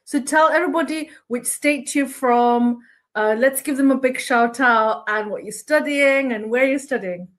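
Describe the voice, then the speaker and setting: A woman's voice: high-pitched, normal speed. A woman hosting an informal live interview, chatty, effusive and encouraging in the way she talks. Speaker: interviewer and host.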